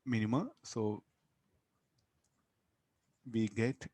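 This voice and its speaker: A man's voice: lowish voice, fairly even intonation, very slow, a little mellow, no echo. South Indian man teaching in a dry-sounding space. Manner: repeats words and phrases, tags sentences with questioning "no?". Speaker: physics teacher. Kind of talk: interactive classroom lecture.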